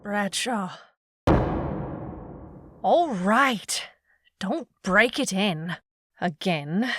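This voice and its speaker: A woman's voice: medium pitch, fairly bright tone, slight background noise. A woman playing a wry, world-weary, tough private eye, narrating a hardboiled noir audio drama. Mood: weary, sassy. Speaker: lead narrator.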